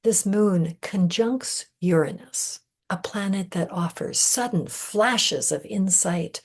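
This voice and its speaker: A woman leading a guided meditation in a gentle, measured way, breathy and husky, often trailing off into a whisper with deliberate pauses. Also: mid-range voice. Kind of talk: guided meditation, soothing scripted narration.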